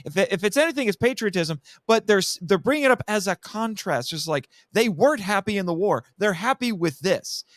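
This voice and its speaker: A man's voice: high voice, animated, brisk, hardly any pauses, fairly bright tone, no echo, clean studio sound. A man in a casual film discussion, speaking emphatically and with strong opinions, often repeating and rephrasing his points. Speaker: podcast guest, film commentator.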